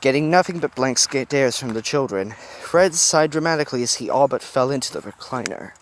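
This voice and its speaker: A man reading a fan story aloud, animated with occasional stumbles over words. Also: medium pitch, fairly quick, slight background noise, rough recording. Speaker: reader.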